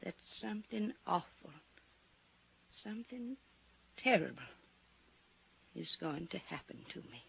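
A woman's voice: mid-range voice, very animated, unhurried, vocal fry, long pauses, heavily acted. An actress playing a weary, wistful aging widow hiding her fear, in the style of 1950s radio drama. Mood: wistful, foreboding. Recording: dull, noisy old radio recording.

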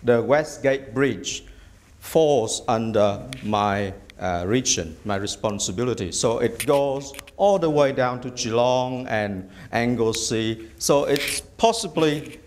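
A middle-aged man from Vietnam whose first language is Vietnamese, a bishop-elect giving measured interview answers in a small office, hesitating often with "uh". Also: medium pitch, expressive, slight background noise. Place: small office room indoors.

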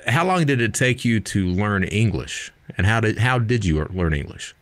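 A man's voice: on the low side, very animated, moderate pace, fairly bright tone, no room sound. A middle-aged man with an Eastern Kentucky accent hosting a podcast, asking questions in an easygoing, plainspoken way into a studio mic. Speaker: podcast host. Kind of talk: interview questions. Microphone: studio mic with headphones.

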